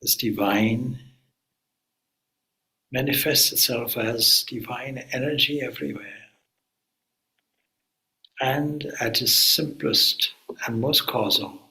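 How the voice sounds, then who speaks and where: A man, a swami, leading a guided meditation in a calm, unhurried way with long pauses. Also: medium pitch, low-quality recording.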